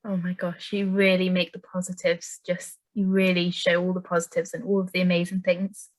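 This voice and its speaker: A woman interviewing a young carer, asking questions and responding in an encouraging, warm way. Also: lowish voice, completely flat delivery, normal speed, no echo.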